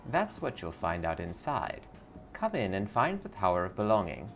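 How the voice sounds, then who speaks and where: A man's voice: on the low side, wildly swooping pitch, moderate pace, creaky, fairly bright tone, soft background music, exaggerated voice. A man voicing a blissful, eager cult greeter in a video game, sweetly pious and salesy. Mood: serene, eager.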